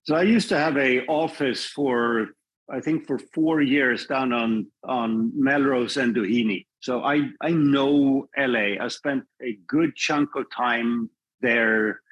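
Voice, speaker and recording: A man with a slightly husky voice talks about his work in a measured, reflective way. He often stops and restarts his phrases as he thinks. The recording sounds dull and muffled. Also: mid-range voice, a bit bright. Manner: thoughtful, measured, with frequent restarts. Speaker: filmmaker guest. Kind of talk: reflective podcast conversation.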